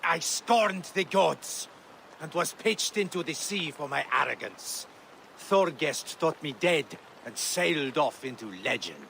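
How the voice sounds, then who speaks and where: A man's voice: a little high, lively intonation, measured pace, gravelly, bright, forceful tone, slight background noise, full character voice, low-quality recording. A man playing a grief-stricken exiled Norse soldier who longs for death, declaiming in archaic lines in a video game. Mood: anguished lament. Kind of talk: dramatic video game acting.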